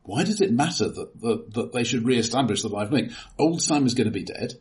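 A man's voice: mid-range voice, animated, fairly quick. A man in a casual film discussion, talking as an analytical co-host with some hesitant restarts. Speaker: podcast co-host reviewing films.

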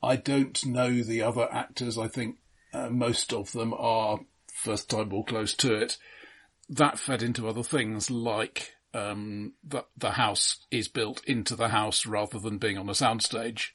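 A man co-hosting a casual film discussion in a measured, analytical way, with hesitant phrasing. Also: lowish voice, fairly even intonation, a bit bright, slightly rough. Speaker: podcast co-host.

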